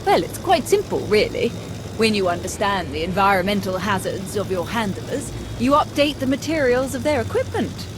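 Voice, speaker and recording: A woman's voice: medium pitch, very animated, moderate pace, background noise, natural voice. An actress playing a blithe, matter-of-fact woman research doctor who explains things precisely in a video game.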